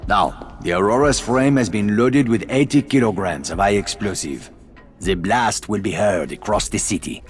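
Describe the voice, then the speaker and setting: An actor playing a proud, determined resistance leader in a video game, speaking in a solemn, commanding way. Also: male voice, on the low side, moderate pace, faint music behind, close to an everyday voice. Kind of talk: dramatic video game acting.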